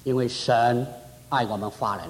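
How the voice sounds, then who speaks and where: A man's voice: mid-range voice, steady tone, moderate pace, a little hiss or hum. A man preaching a fervent sermon at a church conference. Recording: reverberant hall.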